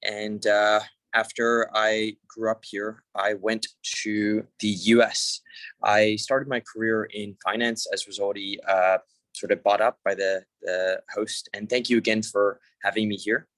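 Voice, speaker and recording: A young man with a fairly low voice talks casually over a video call, the sound close and dry. He presents in an easygoing, informal way, full of fillers and restarts. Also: level tone, measured pace, a bit bright, dry recording, low-quality recording. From Hong Kong. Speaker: conference speaker.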